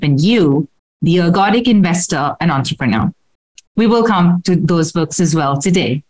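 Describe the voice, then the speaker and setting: Brief: female podcast host, slightly low voice, formal read intro, hesitant questions. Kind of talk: scripted introduction then casual questions.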